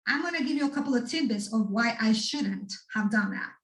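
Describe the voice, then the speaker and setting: Woman giving an animated talk. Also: a little high, fairly quick. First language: Spanish. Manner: animated, addresses the audience directly with frequent "right?". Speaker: speaker at a student workshop. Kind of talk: motivational talk to students. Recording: echoey room, mic far off.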